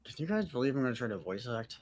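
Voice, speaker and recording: A man's voice: medium pitch, very animated, rapid-fire, darkish tone, slight background noise. A man from the American South hosting a casual group Q&A, joking and teasing the others in a brash, playful way.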